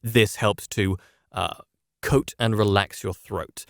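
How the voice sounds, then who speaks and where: A man presenting scripted instructional advice, speaking in a measured, explanatory way straight to the listener. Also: on the low side, fairly even intonation, gravelly, no room sound, clean studio sound. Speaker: presenter of public speaking lessons.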